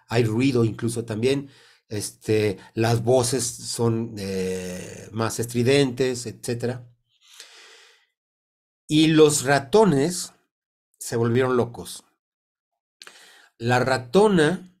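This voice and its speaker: A man in his sixties with a Mexican Spanish accent, congested from a cold, teaching informally over a video call in a halting, deliberate way with many pauses. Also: mid-range voice, darkish tone. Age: 60s. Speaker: sound therapy teacher. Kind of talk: informal explanatory online talk. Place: indoor room on a video call. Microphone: computer mic on a video call.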